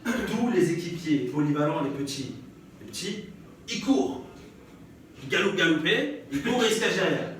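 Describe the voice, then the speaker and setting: A young French-accented man tells stand-up stories, trading banter with the crowd and breaking into laughter. His voice sits a touch high and reaches the mic from a distance. A very echoey live room with clear audience noise surrounds him. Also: lively intonation, normal speed, fairly bright tone. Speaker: stand-up comedian. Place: live comedy stage before an audience.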